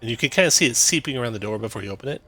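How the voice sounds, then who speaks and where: A man acting as game master, narrating a tabletop game in a relaxed, explaining way with fillers. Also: medium pitch, rapid-fire.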